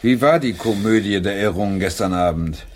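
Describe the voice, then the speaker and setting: A man's voice: on the low side, a little fast, no echo, natural voice. A man acting in a German radio play as an eager, restless playwright who leads a troupe, speaking theatrically as he addresses his company. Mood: urgent, rousing.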